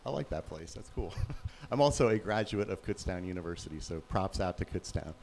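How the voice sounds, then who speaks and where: Deep-voiced man telling a story. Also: brisk, vocal fry, rough recording. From Pennsylvania, USA. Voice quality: very low voice. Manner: relaxed, plain-spoken storytelling. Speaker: youth pastor. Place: church.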